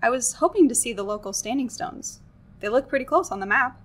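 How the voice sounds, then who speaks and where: A woman's voice: a little high, animated, a little fast. A woman playing a young American tourist in a radio play, earnest, wry and self-deprecating, with an American accent. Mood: polite, curious. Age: young adult.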